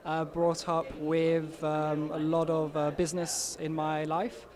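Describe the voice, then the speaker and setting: Man, young adult, high-pitched, insistent, casual interview. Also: monotone, slow, a little mellow. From Albania, raised in London. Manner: eager, insistent, emphatic claims. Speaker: marketing lead, interviewee.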